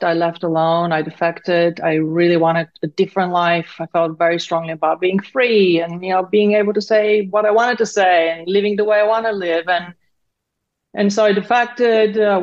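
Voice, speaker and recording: A woman's voice: fairly low pitch, lo-fi sound. A woman in her fifties from Bulgaria, a native Bulgarian speaker, answering conversationally as an interview guest. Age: 50s. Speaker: interview guest, tech founder and CEO.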